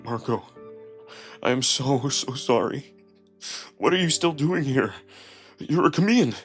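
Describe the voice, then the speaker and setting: A man's voice: medium pitch, lively intonation, measured pace, soft background music, lightly acted. Man playing a tearful, pleading big wolf-man. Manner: pleading, breathy, emotional. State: voice breaking, close to crying. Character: big male wolf-man, tender, distraught and guilt-ridden.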